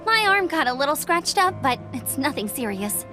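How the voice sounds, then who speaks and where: A bold young woman adventurer, with a very high, lively voice. She speaks briskly and encouragingly, with game music behind her. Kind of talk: game cutscene voice acting.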